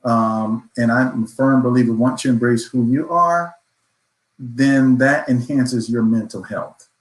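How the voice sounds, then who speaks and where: A man's voice: mid-range voice, a little slow. A man speaking as a panelist in a reflective discussion, earnest, affirming and motivational. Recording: roomy echo.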